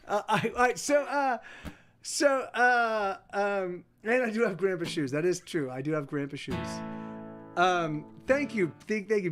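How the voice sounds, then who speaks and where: A man in his forties hosting a livestream, chatting casually with viewers in halting, self-interrupting sentences and frequent swearing. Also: sits high, fairly bright tone. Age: 40s. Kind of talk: rambling casual chat.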